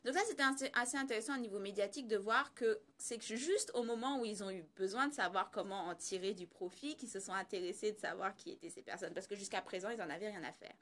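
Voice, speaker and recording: A woman's voice: mid-range voice, quick pace, hardly any pauses, a bit bright. A woman commentating on social issues in a conversational, explanatory way, repeating phrases for emphasis.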